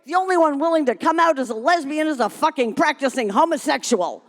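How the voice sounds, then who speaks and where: A woman voicing brash, combative comediennes in a live story reading, loud and aggressive, in a reverberant hall. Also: high-pitched, expressive, voice pushed up. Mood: aggressive, indignant. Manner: aggressive, loud, rapid-fire.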